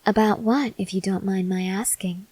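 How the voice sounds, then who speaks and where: A woman playing a possessive, softly menacing female vampire lover in an intimate, measured ASMR roleplay that slips into breathy whispers. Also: mid-range voice, fairly even intonation, no echo, close to an everyday voice. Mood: probing, affectionate. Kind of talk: ASMR roleplay acting, addressed to the listener.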